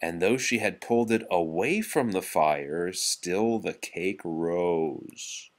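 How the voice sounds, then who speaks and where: A man's voice: low voice, lively intonation, slow. A man reading a rhyming children's story aloud, with theatrical, rhythmic phrasing. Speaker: storybook reader. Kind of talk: expressive children's read-aloud of rhyming verse.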